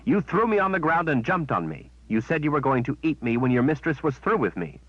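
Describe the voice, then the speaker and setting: A man's voice: on the high side, sing-song, fairly quick, no echo, voice pushed up. A man voicing a defiant young woman who speaks in an indignant, accusing manner. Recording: dull, dry sound.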